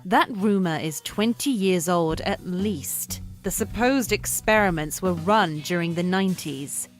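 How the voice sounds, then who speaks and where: An actress playing a coolly unimpressed, skeptical young woman with a dry, deadpan delivery in a visual novel. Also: lowish voice, unhurried, soft background music, lightly acted.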